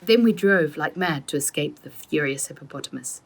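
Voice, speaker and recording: A woman's voice: sits low, lively intonation, moderate pace, husky, heavily acted. A woman reading the part of a storybook father who recounts a childhood adventure in a wry, dramatic way. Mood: excited. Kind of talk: storybook character narration.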